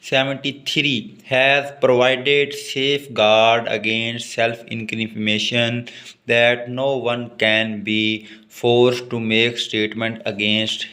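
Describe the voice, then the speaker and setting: A man's voice: mid-range voice, bright, forceful tone. A man with a Pakistani accent giving an exam-focused lecture, switching between Urdu and English as he reads passages and explains them. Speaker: law lecturer.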